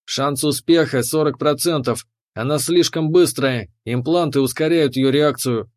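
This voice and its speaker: A man's voice: mid-range voice, unhurried, natural voice. A man voicing a cold, calculating imperial officer who lives in the hero's head, clinical and matter-of-fact, in an audiobook. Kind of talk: audiobook character voice.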